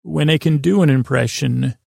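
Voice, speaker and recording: A man hosting a bedtime podcast in a gravelly voice, rambling in a halting, meandering, self-deprecating way. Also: on the high side, a little mellow, no room sound, studio quality. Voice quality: gravelly, creaky. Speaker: podcast host.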